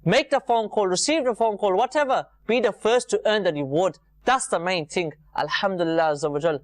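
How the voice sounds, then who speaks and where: A man's voice: high-pitched, brisk, no room sound. Man presenting an earnest religious talk. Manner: earnest, repetitive phrasing for emphasis. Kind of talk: informal religious talk to viewers.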